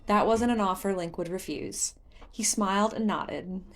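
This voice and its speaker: A woman's voice: mid-range voice, measured pace. A woman reading scripted fantasy fiction aloud as an audiobook narrator.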